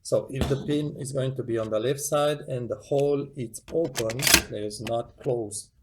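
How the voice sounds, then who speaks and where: Low-ish creaky guy, doing a casual how-to demo, kinda halting, noisy audio w clicks. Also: steady tone. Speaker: tutorial presenter. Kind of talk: casual hands-on demonstration, unscripted. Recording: clearly noisy home recording with clicks.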